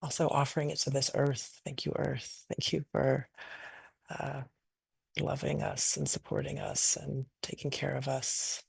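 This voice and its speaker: A woman leading a guided meditation in a gentle, unhurried, invitational way, improvising softly and leaving long pauses. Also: extremely low pitch, monotone, vocal fry, breathy edge, dry recording. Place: online video call session.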